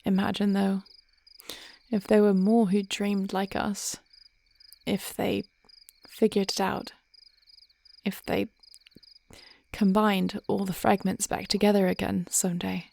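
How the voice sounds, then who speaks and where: A woman playing a thoughtful, devoted dragon queen wife in an intimate fantasy audio roleplay, speaking in measured, formal, tender phrases. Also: mid-range voice, flat delivery, creaky, mellow. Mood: musing, hopeful.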